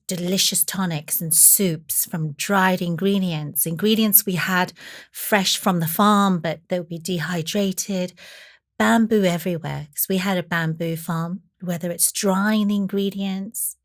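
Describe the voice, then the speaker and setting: Chatty low-voiced woman in her forties. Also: fairly even intonation, measured pace, no room sound, studio quality. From Taiwan. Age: 40s. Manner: chatty, with many "you know" and "um" fillers. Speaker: chef and guest. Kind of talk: conversational storytelling.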